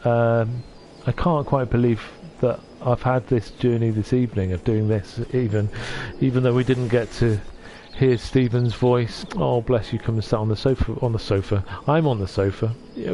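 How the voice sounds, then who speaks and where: A man from North Wales streaming from his sofa at home. He chats gently with his viewers and often stops and restarts. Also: medium pitch, moderate pace, hardly any pauses, slight background noise. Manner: gentle, hesitant, with many restarts. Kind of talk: casual chat reading out viewer comments.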